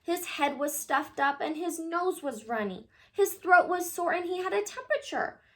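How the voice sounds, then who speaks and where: Lady reading a picture book to little kids, high voice, super expressive and swoopy. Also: normal speed. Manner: animated, expressive, exclaiming to young listeners. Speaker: storyteller reading aloud to children. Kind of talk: children's picture book read-aloud.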